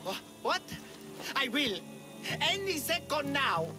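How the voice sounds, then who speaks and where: A man voicing a hapless, cowardly villager who poses as a monster slayer, theatrical, bumbling and excitable, in a video game. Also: extremely high pitch, slow pace, music in the background, exaggerated voice. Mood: desperate, panicked. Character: hapless, melodramatic, cowardly male villager posing as Minotaur slayer. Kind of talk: video game character acting.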